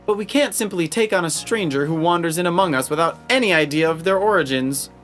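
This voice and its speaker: A woman voicing a stern, aloof captain in a video game, formal, measured and cool, with music behind. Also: fairly low pitch, a bit bright, natural voice. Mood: stern, appraising. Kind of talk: voiced video game dialogue.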